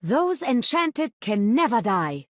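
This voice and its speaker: A woman playing an imperious, sinister dark witch in a game. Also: a little high, sing-song, takes it slowly, dry recording, studio quality, exaggerated voice.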